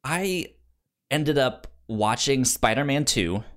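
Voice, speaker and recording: Upbeat, animated male podcast host. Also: mid-range voice, takes it slowly. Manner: upbeat and enthusiastic; stammering over words. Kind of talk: casual chat.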